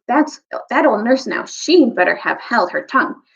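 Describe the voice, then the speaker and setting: A woman's voice: fairly high pitch, big pitch swings, moderate pace, creaky, lo-fi sound, pitched above the performer's own voice. A woman voicing a surly, suspicious male storybook dwarf, grumbling and accusatory. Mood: sulky.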